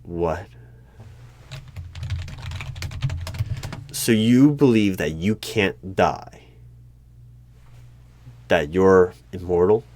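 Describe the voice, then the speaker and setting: A man playing a creepy, skeptical hiring manager in a one-sided job interview roleplay, speaking in a deliberate, probing way. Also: low voice, darkish tone. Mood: incredulous. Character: creepy, skeptical male hiring manager of a mysterious secret organization. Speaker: roleplay performer.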